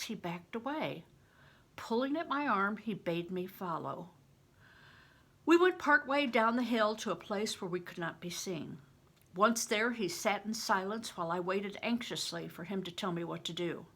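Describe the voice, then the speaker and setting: Slow, bright-voiced older woman reading. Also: medium pitch, husky. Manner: explanatory, poses questions to listeners. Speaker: grandmother reading a book aloud to children. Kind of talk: informal storybook reading and discussion.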